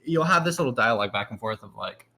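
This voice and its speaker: A man guesting as a team shot caller, talking loudly in a rambling, filler-heavy casual way. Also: mid-range voice, expressive, fast, vocal fry. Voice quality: loud voice. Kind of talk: casual panel chat.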